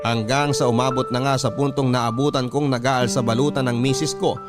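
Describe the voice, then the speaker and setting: An actor with a Filipino accent playing a regretful husband, narrating in a measured, confessional way for a radio drama. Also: male voice, medium pitch, steady tone, close to an everyday voice. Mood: reflective. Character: regretful husband narrating his troubled past. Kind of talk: scripted first-person narration. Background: music.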